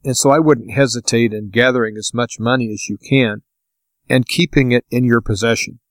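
A man's voice: mid-range voice, fairly even intonation, moderate pace, no room sound. Man giving plainspoken advice, dry sound. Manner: plainspoken, first-person practical advice. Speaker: podcast host. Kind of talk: informal advice monologue.

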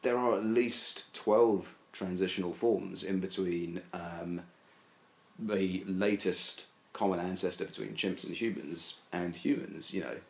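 A man's voice: low-pitched, unhurried, creaky, a little mellow, reverberant, rough recording. A man talking casually as a podcast guest, hedging and rambling with many fillers and restarts. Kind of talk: casual discussion.

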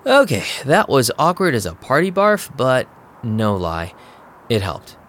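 A man's voice: medium pitch, huge pitch swings, unhurried, a bit bright, slight background noise. An actor playing an anxious, wisecracking vampire DJ, telling his story in casual slang for an audio drama. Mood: self-assured. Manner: casual, slangy, self-doubting. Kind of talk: first-person audio drama narration and dialogue.

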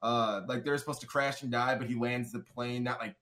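A man's voice: mid-range voice, steady tone, fairly quick, sharp tone. A man in his twenties in a casual chat, laid-back and swearing, calling people dude and bro. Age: 20s. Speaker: guest.

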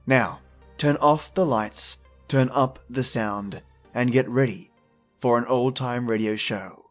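A man hosting a spooky podcast, reading a scripted introduction in a measured, theatrical storyteller manner. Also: mid-range voice. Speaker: podcast host. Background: faint music.

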